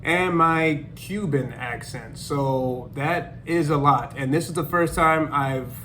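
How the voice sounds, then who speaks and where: A man's voice: fairly high pitch, slow, slight background noise. Upbeat man vlogging in his apartment. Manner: upbeat, animated, laughs often. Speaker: language-learning YouTuber. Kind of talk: casual vlog talking to camera. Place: small apartment living room, indoors.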